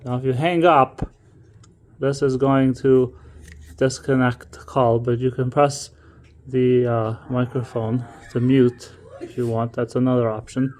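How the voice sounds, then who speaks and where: A man's voice: mid-range voice, dark tone. A man instructing in an informal, step-by-step way, as in a casual hands-on tutorial. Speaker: instructor demonstrating equipment.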